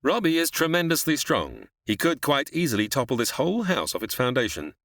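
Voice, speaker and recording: An actor playing an authoritative, formal scientist in a retro sci-fi radio drama, speaking deliberately and commandingly. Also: male voice, medium pitch, big pitch swings, fairly bright tone, no room sound, studio quality. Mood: grave. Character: authoritative, formal scientist host, grown man.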